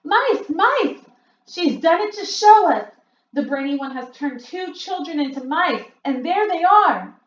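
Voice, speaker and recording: A woman reading a storybook aloud and voicing a crowd of excited female witches who shriek and yell. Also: on the high side, normal speed, roomy sound, lo-fi sound. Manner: shrieking, yelling.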